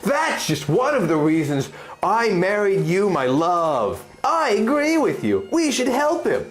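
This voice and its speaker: A man's voice: high-pitched, wildly swooping pitch, measured pace, soft background music, pitched above the performer's own voice, heavily acted. A man voicing a cautious, caring young male hero in a storybook fantasy tale. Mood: worried, tender.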